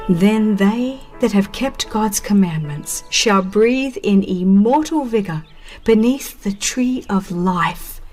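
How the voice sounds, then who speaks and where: A woman reading a religious book as a solemn, measured audiobook narrator with dramatic phrasing. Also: a little high, darkish tone.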